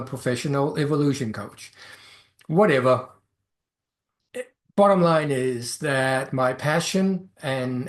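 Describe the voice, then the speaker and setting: A middle-aged man from Denmark with a Danish accent, speaking English as a second language while hosting a solo podcast as a life coach. He talks in a halting, reflective way and fills his pauses with "um" and "uh". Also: on the high side. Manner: hesitant, many fillers and restarts.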